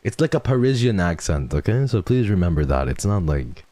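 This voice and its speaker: A man streaming a casual chat with viewers, swearing freely and jumping from low mumbles to excited high yelps. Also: fairly quick, dry recording.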